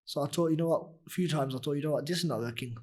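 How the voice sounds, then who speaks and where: A young man with a husky, deep voice that still sits a little high for a man. He talks loosely and casually as a guest, circling back with fillers and restarts. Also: rapid-fire, a little mellow. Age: young adult. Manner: rambling, many "you know" fillers and restarts. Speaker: nasheed artist, guest. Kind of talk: casual interview talk.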